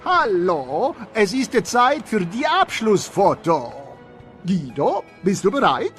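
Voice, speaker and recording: Very high, melodramatic little cartoon car. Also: male voice, sing-song, slow pace, a bit bright, faint music behind, full character voice. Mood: cheerful. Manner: melodramatic, pleading. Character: small, excitable, melodramatic male fan car. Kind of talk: German-dubbed animated cartoon dialogue.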